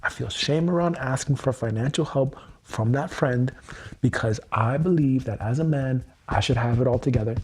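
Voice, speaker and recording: A man's voice: medium pitch, normal speed, a little hiss or hum, poor audio. A man in his thirties on a livestream, speaking earnest, repetitive self-affirmations with frequent swearing. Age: 30s. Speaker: EFT tapping livestreamer.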